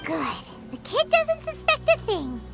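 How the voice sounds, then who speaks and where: A woman voicing a tiny floating fairy companion in a video game, with a squeaky, childlike voice. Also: wildly swooping pitch, a little slow, vocal fry, heavily acted. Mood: cautious.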